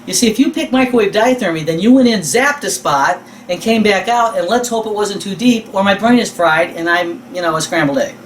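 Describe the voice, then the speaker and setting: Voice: medium pitch, fairly quick, hardly any pauses. A teacher giving an informal instructional lecture, explaining things step by step in a conversational way and often checking that listeners follow. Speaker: teacher of a physical therapy exam prep class. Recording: distant mic with faint room noise.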